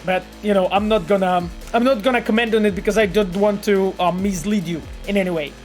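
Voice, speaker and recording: A man's voice: high-pitched, a little fast, faint music behind. A man with a Polish accent chatting casually on a live stream as he reads out viewer messages, rambling with lots of fillers and repeated words. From Poland. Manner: animated and rambling, with frequent fillers, repeats and laughs. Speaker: game developer streaming and reading chat.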